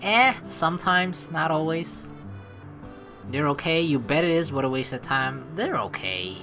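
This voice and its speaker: A woman's voice: low voice, expressive, measured pace, music in the background. A young woman giving casual, slangy game commentary, reading aloud and reacting as she goes. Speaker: let's play host.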